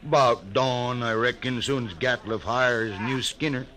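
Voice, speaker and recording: Bright-voiced actor as a folksy hide skinner. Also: male voice, medium pitch, a little slow, natural voice. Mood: wary. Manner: folksy drawl, eager and wary. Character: rough, nervous frontier hide skinner. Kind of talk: 1950s radio western acting.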